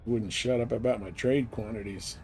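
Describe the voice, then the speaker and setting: A man with an average-pitched voice streams a game with laid-back, casual commentary. He talks partly to himself and partly to his viewers. A faint game ambience sits behind him. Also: fairly even intonation, normal speed, darkish tone, a little hiss or hum.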